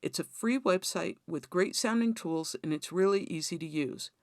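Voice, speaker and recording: A woman's voice: on the low side, moderate pace, no echo, clean studio sound. A woman hosting a tutorial, explaining things in a conversational, instructional way. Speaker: host of songwriting tutorial.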